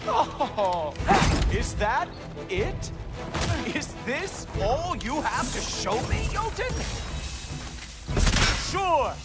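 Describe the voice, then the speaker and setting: A condescending, mocking god standing guard in a fantasy video game. His voice is high for a man and theatrical, drawn out slowly with sneering sarcasm. Loud music plays behind him. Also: big pitch swings, full character voice. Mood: mocking, gleeful. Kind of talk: video game dramatic acting.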